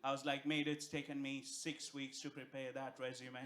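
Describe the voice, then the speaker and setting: A man's voice: medium pitch, level tone, normal speed, very noisy. A young man from India with an Indian accent, doing a stand-up comedy set on stage with brash, profane punchlines. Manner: brash, profane punchlines over audience laughter. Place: comedy club stage before a live audience. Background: audience laughter.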